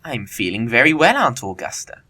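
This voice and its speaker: A man playing a witty, flippant young gentleman bachelor in an audio comedy of manners, glib and theatrical in formal speech. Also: mid-range voice, sing-song, normal speed. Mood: feigned politeness.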